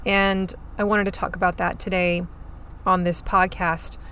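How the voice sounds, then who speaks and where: A woman hosting a solo podcast on farm marketing, her voice a little hoarse and scratchy, talking in an upbeat, chatty way while chewing gum. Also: on the low side, flat delivery, a little slow, fairly bright tone.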